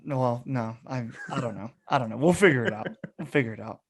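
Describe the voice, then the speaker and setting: A man's voice: mid-range voice, quick pace. A young man hosting a casual sports podcast, chatting in a playful, bantering way. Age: young adult. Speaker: podcast host.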